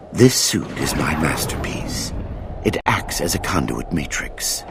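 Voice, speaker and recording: An actor playing a menacing, arrogant robotic mastermind in a video game, speaking in a grandiose, pompous way. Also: male voice, noisy background, full character voice. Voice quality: very deep, gravelly. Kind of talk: video game cutscene acting. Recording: loud music over the voice.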